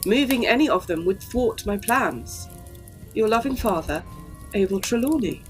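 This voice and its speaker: A well-bred young Edwardian lady, played by a woman in a gothic audio drama. She sounds anxious and earnest, with polite, formal phrasing in a medium pitch. Music plays behind her. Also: expressive, moderate pace, natural voice, poor audio. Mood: solemn reading.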